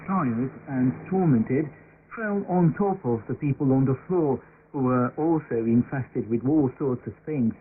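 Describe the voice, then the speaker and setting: Man reading testimony over thin shortwave radio. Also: medium pitch. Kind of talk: scripted English voice-over of first-person testimony. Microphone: broadcast received over shortwave radio. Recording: noisy narrow-band shortwave radio broadcast.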